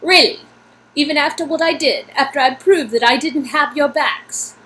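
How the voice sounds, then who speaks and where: A woman's voice: high-pitched, normal speed, bright, forceful tone, rough recording. A woman voicing an aloof, guarded, lonely female secret agent from an interactive story game, with a put-on British accent. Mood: guarded, disbelieving.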